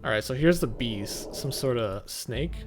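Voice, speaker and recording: Male gaming host, casual playthrough commentary, mildly sardonic, mid-pitched voice, music in the background. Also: animated, a little slow.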